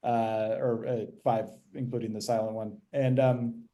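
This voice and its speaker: An easygoing male podcast host talks at a middle pitch, musing out loud and circling back on his phrasing as he asks questions. His tone stays conversational throughout. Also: flat delivery, a little slow. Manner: conversational, thinks aloud with restarts. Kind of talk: casual podcast interview.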